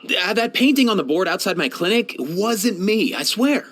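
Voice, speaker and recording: An actor playing a kindly, laid-back young veterinarian in a video game, talking in casual slang. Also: male voice, high voice, sing-song, normal speed, a bit bright, a little hiss or hum, exaggerated voice. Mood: relaxed, friendly. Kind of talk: game voice lines, conversational.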